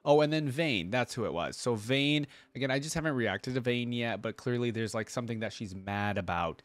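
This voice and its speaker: A man's voice: medium pitch, fairly quick, no room sound. A young man hosting a reaction video, chatting in an enthusiastic, slangy, rambling way. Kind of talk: casual chat, fan commentary.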